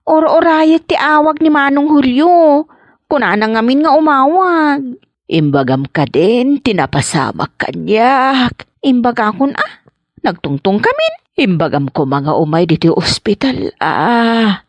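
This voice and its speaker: A woman's voice: a little high, big pitch swings, measured pace, dry recording. Frail, fretful old mother. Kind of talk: comedy radio drama acting.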